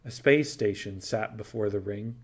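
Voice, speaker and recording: A man's voice: on the low side, normal speed, breathy edge. A man reading scripted fiction as an audiobook narrator, telling the story in prose.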